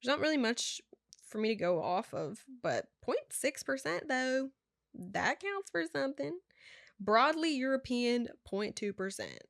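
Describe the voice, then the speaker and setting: A woman's voice: sits high, big pitch swings, a little slow, gravelly, no echo. Young woman vlogging, reading stuff out and tripping over words, chatty asides, dry close sound. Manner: stumbling read-aloud with casual asides and laughs. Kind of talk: casual chat reading results aloud.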